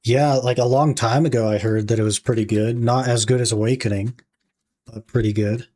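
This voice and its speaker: A young man with a raspy voice, casually chatting to his stream audience while he plays. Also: mid-range voice, moderate pace. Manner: relaxed, musing aloud while playing, talking to chat. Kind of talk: casual gaming livestream chat.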